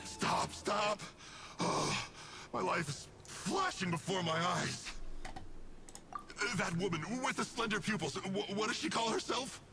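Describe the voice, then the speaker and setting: An actor playing a smug, cunning villain in a video game, with a theatrical, mockingly casual drawl. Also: male voice, high voice, very animated, measured pace, music in the background, full character voice. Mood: theatrical urgency. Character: smug, teasing villain, a cunning man of the darkness. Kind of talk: English video game voice acting.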